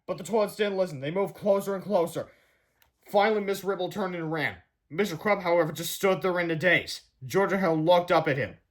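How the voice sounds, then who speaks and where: A man's voice: sits high, brisk. A man narrating a children's book as a read-aloud. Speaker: storybook reader.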